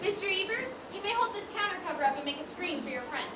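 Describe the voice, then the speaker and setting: An echoey, noisy stage recording carries a woman playing a proper lady shopkeeper. She is brisk and exasperated, scolding in formal, emphatic phrases at a very high pitch. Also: expressive. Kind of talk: amateur stage play acting. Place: large indoor performance space, far from mic. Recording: distant, echoey stage recording with loud noise.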